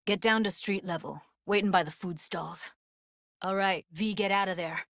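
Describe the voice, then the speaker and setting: A woman's voice: medium pitch, fairly even intonation, normal speed, a bit bright, no room sound, clean studio sound, natural voice. An actress voicing a tough, guarded young woman techie in a cyberpunk video game, speaking in casual, clipped slang with a wry edge. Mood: matter-of-fact. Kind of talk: naturalistic video game dialogue, isolated voice lines.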